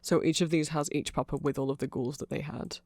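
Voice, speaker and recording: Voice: mid-range voice, fairly even intonation, fast talker, a little mellow, no echo, lightly acted. A performer voicing a nerdy vampire fan in a rambling, apologetic, digressive ASMR explanation. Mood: rambling, explanatory.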